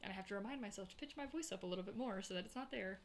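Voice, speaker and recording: A woman with a Southern accent, co-hosting a casual tabletop-gaming podcast and talking in a chatty, animated way. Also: mid-range voice, racing. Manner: chatty, many "like" fillers, animated storytelling. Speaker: podcast co-host. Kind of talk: casual chat.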